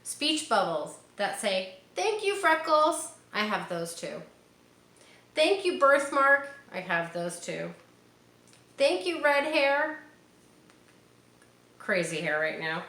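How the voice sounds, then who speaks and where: A woman's voice: a little high, big pitch swings, takes it slowly, pauses often, sharp tone. A woman reading a picture book aloud to children, speaking to them directly and encouragingly with casual asides. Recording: roomy echo, faint background noise.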